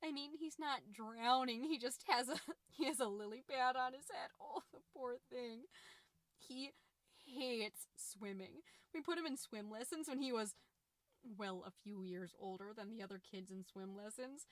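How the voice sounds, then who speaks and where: A woman's voice: a little high, dry recording, lightly acted. A woman playing a chatty, dramatic suburban mom who hosts a comedic book-club podcast, with folksy, theatrical delivery. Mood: amused, fond. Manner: theatrical, folksy, alliterative, drawn-out emphasis. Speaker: podcast host. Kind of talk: scripted comedic podcast monologue.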